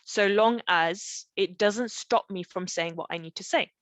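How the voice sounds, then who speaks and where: A woman's voice: mid-range voice, measured pace, a bit bright, dry recording. A middle-aged woman coaching in a live online session, explaining through personal stories and often checking in with short questions. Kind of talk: conversational live webinar teaching with personal stories.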